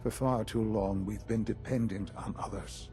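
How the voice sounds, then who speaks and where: An actor playing a dying elderly male leader of an institute, composed and authoritative, speaking in measured, formal phrases for a game. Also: on the low side, fairly even intonation, mellow, faint music behind, lightly acted. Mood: measured, resolute. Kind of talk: dramatic game dialogue.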